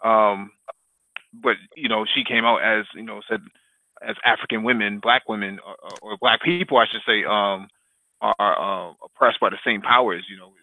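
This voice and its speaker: A man's voice: lowish voice, fairly even intonation, normal speed, bright, forceful tone, no room sound. A man co-hosting a casual political talk, rambling through many fillers and breaking into crude jokes and swearing. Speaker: podcast co-host. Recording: dry tinny phone-line sound.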